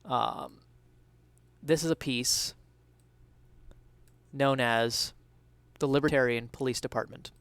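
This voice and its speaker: A man's voice: mid-range voice, fairly even intonation, slow pace, pauses often, a bit bright, no echo. A man giving a dramatic reading of a first-person story. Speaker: dramatic reader.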